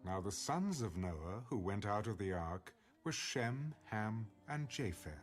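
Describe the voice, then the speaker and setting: A man reading scripture as a scripted audio Bible narration, in a measured, expressive storytelling delivery. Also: on the low side, faint music behind.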